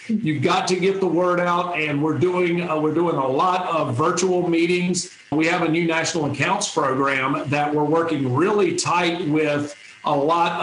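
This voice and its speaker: A man's voice: fairly high pitch, fairly bright tone, slight background noise. A middle-aged man answering interview questions over a video call in an easygoing, folksy way from a home office. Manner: easygoing, folksy, frequent restarts. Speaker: territory manager, interview guest. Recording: echoey room on a video call.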